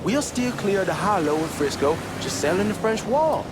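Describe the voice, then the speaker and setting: An excitable young hustler from a crime game, with a Southern American accent. His pitch swings widely as he talks fast slang, cocky and chummy. A clear bed of engine and street noise sits behind him. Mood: persuasive. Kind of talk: acted game dialogue, casual banter. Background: moving car ambience.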